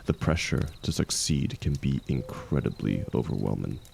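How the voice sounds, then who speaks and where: Man acting a very deep, gravelly veteran mercenary. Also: animated, a little hiss or hum, heavily acted. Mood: wistful. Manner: gentle, teasing, unhurried, colloquial. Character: seasoned ex-mercenary warrior man, gruff, kindly, teasing companion. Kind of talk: intimate fantasy roleplay audio drama.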